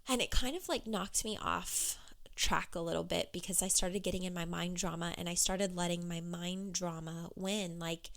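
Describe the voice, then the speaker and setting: A woman business coach talking candidly on her solo podcast, with a hoarse, froggy voice. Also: on the low side, fairly even intonation, normal speed, fairly bright tone. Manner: candid, conversational, with fillers.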